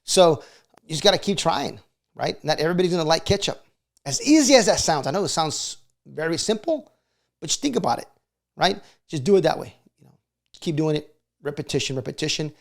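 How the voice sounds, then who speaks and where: A man's voice: on the high side, animated, fairly quick, vocal fry. A middle-aged man giving casual motivational advice as a video host, conversational and often checking in for agreement. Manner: conversational, frequently seeks agreement with short check questions.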